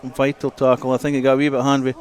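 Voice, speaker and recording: A man's voice: medium pitch, completely flat delivery, very fast. A man giving conversational analysis as co-commentator on a live rugby broadcast, outdoors at the ground.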